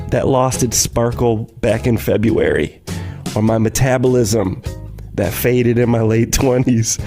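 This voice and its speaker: Male radio host, mellow and reflective, conversational monologue over a light music bed. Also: medium pitch. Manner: reflective, wryly self-deprecating. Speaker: radio presenter. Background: light guitar music.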